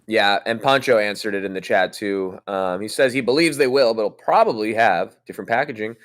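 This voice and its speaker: A man's voice: lowish voice, fairly quick. A man co-hosting a podcast, easygoing, reading out viewer super chats. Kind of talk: casual chat.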